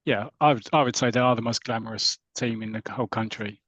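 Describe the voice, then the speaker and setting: A man's voice: mid-range voice, fast, a bit bright, no echo. A man hosts a casual podcast chat in a mid-range voice. He retells stories with enthusiasm. The sound is dry, with little room in it. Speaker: podcast host.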